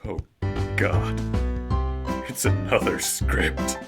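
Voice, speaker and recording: A man playing a frightened, lost character in an audio drama, with a halting, anxious delivery. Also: deep voice, expressive, vocal fry, full character voice. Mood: frightened, pleading. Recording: loud music bed under voice. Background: loud instrumental music.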